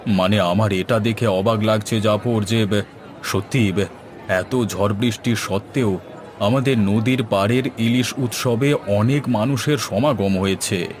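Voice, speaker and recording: A man voicing a warm-hearted, eager village man in a cartoon, with an emphatic, exclamatory delivery. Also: on the low side, measured pace, close to an everyday voice. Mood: moved. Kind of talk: Bengali cartoon voice acting. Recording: music playing behind the voice.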